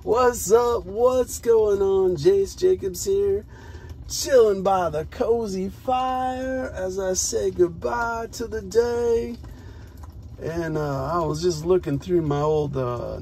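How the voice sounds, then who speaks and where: Creaky-voiced American man chatting casually. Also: high-pitched, slow, mellow. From United States. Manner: upbeat, rambling, addresses viewers. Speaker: spoken word poet and vlogger. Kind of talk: casual chatty solo vlog. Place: parked car, talking alone.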